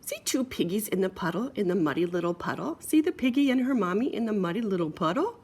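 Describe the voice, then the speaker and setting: A woman's voice: mid-range voice, animated, fast talker, darkish tone, husky. A woman reading a children's picture book aloud in a playful, sing-song way, with chatty asides. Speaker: storyteller.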